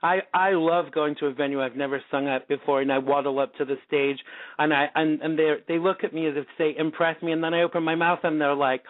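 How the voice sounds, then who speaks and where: A man's voice: on the high side, steady tone, fast talker, dry recording. A male podcast host in a casual interview chat, talkative and self-deprecating, laughing often. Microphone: call-in radio show line. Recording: tinny phone-line sound.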